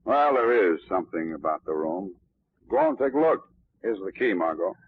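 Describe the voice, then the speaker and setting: An actor playing a brusque, dismissive police commissioner in a 1940s radio drama, gruff and blunt. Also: male voice, low-pitched, very animated, moderate pace, full character voice. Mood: impatient, gruff. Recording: muffled old radio recording.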